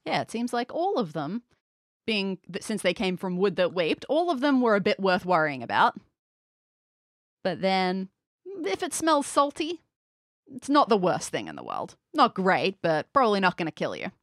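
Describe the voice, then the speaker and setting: A woman's voice: mid-range voice, wildly swooping pitch, moderate pace, fairly bright tone, dry recording, clean studio sound. A woman hosting as game master of a podcast escape room, narrating scenes playfully for the players. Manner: playful, storytelling.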